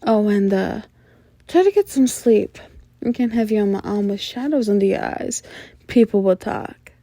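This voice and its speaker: Woman acting a mellow, teasing female mafia boss. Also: mid-range voice, expressive, dry recording. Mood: smug, dismissive. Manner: coy, teasing, drawn-out hums and knowing laughs. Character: flirtatious, teasing female mafia boss, sly and self-assured. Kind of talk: ASMR roleplay acting.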